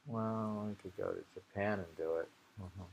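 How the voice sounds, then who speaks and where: A man's voice: low voice, steady tone, moderate pace, creaky, dull audio. A man reading poetry and chatting casually about it, rambling and musing aloud as he goes. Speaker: poetry reader and host. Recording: noticeable background noise, amateur home recording.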